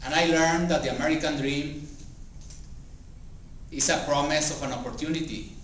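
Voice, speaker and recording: A man's voice rings through a very echoey room, picked up from a distance over faint noise. He is a non-native English speaker giving a personal motivational speech. His delivery is halting, with deliberate pauses between phrases. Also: fairly high pitch, steady tone, a bit bright. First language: not English. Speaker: club member giving a practice speech. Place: indoor meeting room before a small audience. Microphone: distant camera or room microphone.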